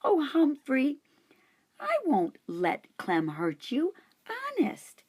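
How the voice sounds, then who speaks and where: A woman voicing a caring young girl who speaks high, soft and tearful in a storybook reading. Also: huge pitch swings, takes it slowly, slightly rough, full character voice. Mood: gently scolding.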